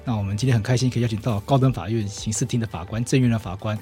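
A man's voice: lowish voice, steady tone, rapid-fire, dark tone, breathy edge, faint music behind. A man with a Taiwanese Mandarin accent hosting a conversational podcast interview, explaining things in a chatty, wordy way. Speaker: podcast host.

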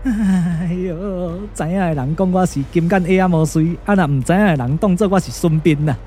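A man's voice: high-pitched, moderate pace, warm tone, faint music behind, lightly acted. A man voicing a cowardly comic impostor, muttering and whining nervously in a Taiwanese puppet radio drama. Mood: nervous, puzzled. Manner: muttering, chattery, whiny. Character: cowardly comic male impostor disguised as a strategist.

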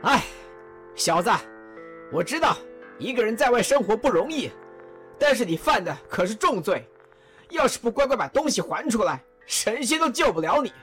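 A woman's voice: fairly high pitch, sing-song, normal speed, long pauses, fairly bright tone, soft background music. A woman playing a stern male general who scolds and warns a young suspect. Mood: warning. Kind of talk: radio drama acting.

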